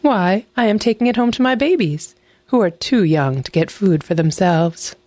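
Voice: mid-range voice, expressive, normal speed, a little mellow, dry recording, voice pushed up, natural voice. A storybook narrator voicing a sly female fox, a sweet-talking and mocking trickster who coaxes and exclaims. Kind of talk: animal fairy tale storytelling.

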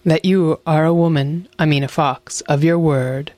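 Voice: medium pitch, steady tone, normal speed, a little mellow, dry recording, lightly acted. A storybook narrator voicing a greedy, suspicious male wolf who gives bossy, threatening commands. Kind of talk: animal fairy tale storytelling.

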